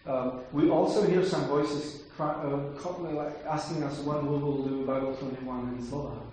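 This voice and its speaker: A man from the Czech Republic, a native Czech speaker with a Czech accent, answering audience questions in a halting, casual way with many fillers and restarts. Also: mid-range voice, fairly even intonation, warm tone. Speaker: Bible translator answering questions. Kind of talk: casual Q&A talk. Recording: very echoey hall, faint noise.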